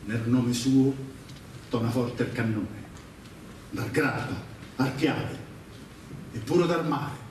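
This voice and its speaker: Slow, solemn man reciting in echoing hall. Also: medium pitch, a little mellow, slight background noise. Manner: solemn, declamatory, with pauses. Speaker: stage performer reciting verse. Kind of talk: theatrical patriotic poetry recitation. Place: large echoing performance venue, live show. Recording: very echoey hall, distant mic, music.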